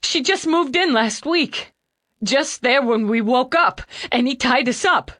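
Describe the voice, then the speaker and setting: A man's voice: extremely high pitch, moderate pace, bright, forceful tone, voice pushed up. A woman voicing a skinny young man in his late twenties, crying and breathless, his words tumbling over each other. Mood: sobbing panic. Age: late 20s.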